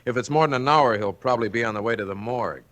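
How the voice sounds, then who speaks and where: An actor playing a matter-of-fact, businesslike hospital doctor in a 1940s radio drama. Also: male voice, mid-range voice, racing, a bit bright, natural voice. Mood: offhand.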